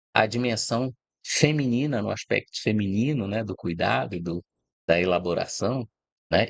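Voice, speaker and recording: Low-voiced Brazilian man explaining calmly. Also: creaky, dry recording. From Brazil, born in Rio de Janeiro. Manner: reflective, explanatory, frequent "né?" and "tá?" tags. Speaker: host of a channel about food and agribusiness. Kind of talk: informal spoken talk to camera.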